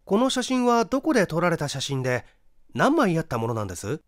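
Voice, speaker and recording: Man voicing an eager, probing amateur detective, lively pitch. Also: a little fast, no echo, clean studio sound. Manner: questioning, detective-like. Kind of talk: dramatic story reading.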